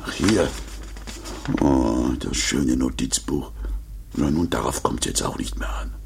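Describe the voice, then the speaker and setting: A man's voice: a bass voice, takes it slowly, gravelly, slight background noise, exaggerated voice. An actor in a 1950s German radio play playing a pompous, panicky, self-pitying businessman trapped alone, muttering to himself. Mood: anxious muttering. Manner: self-pitying muttering monologue, swinging between whispers and shrieks.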